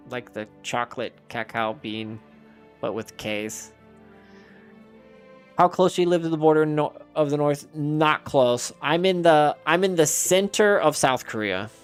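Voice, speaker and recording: A man streaming a game and chatting casually with his viewers, answering their messages aloud in a rambling way with frequent restarts. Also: fairly high pitch.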